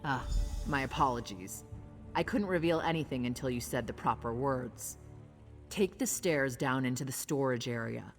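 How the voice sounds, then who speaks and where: A man's voice: medium pitch, moderate pace, soft background music, close to an everyday voice. An actor voicing a put-upon, brusque and sardonic male innkeeper in a video game, heard in a long reverberant hall. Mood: discreet, apologetic. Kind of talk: video game character dialogue.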